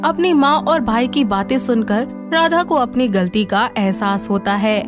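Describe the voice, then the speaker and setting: Woman storyteller, higher voice over music. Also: expressive, unhurried. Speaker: narrator. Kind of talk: scripted story narration.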